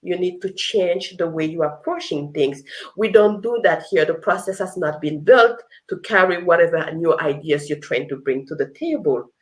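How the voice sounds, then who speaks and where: A woman's voice: lowish voice, animated, normal speed. A woman hosting an unscripted live interview, effusive and given to repeating phrases for emphasis. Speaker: host of a live leadership talk show.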